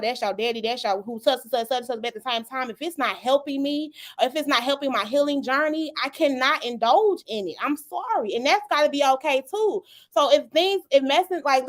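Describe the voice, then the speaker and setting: High-voiced woman hosting a podcast. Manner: animated, laughs often, emphatic repetition. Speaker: podcast host. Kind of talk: casual panel discussion.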